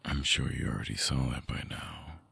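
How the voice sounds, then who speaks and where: A man voicing a lovesick vampire in intimate ASMR roleplay, husky and breathy, often dropping toward a whisper, halting, tender and earnest, close on the mic. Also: very deep voice, bright, forceful tone, no echo, exaggerated voice. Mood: tender, devoted. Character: lovesick male vampire returning to his lost lover. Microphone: close binaural microphone.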